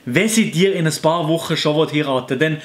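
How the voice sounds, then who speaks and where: A man's voice: fairly high pitch, a little fast. A young man with a Fribourg Swiss German accent, hosting a comedy video and mocking other YouTubers in a sarcastic tone. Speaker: YouTuber host. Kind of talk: satirical commentary.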